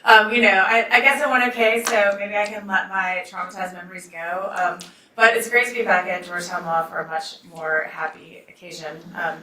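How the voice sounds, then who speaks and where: Soft-spoken woman in an echoey hall. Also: medium pitch, fairly even intonation, normal speed, slight background noise. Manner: soft-spoken, many ums, reads aloud from a ruling. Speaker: judge and debate moderator. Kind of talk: opening remarks at a law school debate. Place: lecture hall. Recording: echoey hall, mic at a distance.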